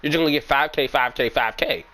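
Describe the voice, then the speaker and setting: A young man with a sick, raspy voice, giving frank, rambling opinions as a gaming YouTuber in casual commentary. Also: medium pitch, fast talker, bright, forceful tone.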